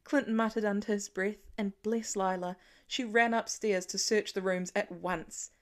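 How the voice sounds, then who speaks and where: Expressive woman narrating fiction. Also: mid-range voice, unhurried. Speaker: audiobook narrator. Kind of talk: scripted dramatic fiction narration.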